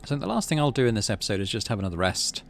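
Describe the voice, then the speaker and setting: A man, middle-aged, hosting a game playthrough, mixing casual commentary with expressive story reading. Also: on the low side, rapid-fire, creaky, slight background noise. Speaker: let's play host.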